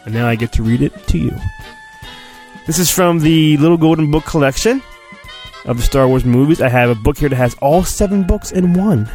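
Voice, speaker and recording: A man with a fairly high, very animated voice reads a storybook as a comic podcast performance. He is playful and mock-earnest, breaking into chatty asides. Soft music sits behind him. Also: fairly quick. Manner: playful, mock-earnest, acts out sound effects. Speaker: podcast host reading a children's storybook.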